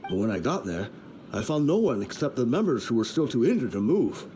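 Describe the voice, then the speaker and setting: A man's voice: medium pitch, sing-song, a little fast, dark tone, a little hiss or hum, lightly acted. A man playing a weary, honourable mercenary underling in a video game, matter-of-fact in manner. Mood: resigned, frank. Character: plain-spoken, weary but honourable male mercenary underling.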